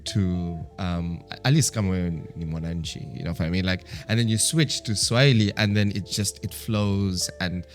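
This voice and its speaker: Deep-voiced East African man, chatting casually. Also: normal speed, few pauses, faint music behind. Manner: reflective, talkative, peppers English with some Swahili. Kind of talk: casual conversation.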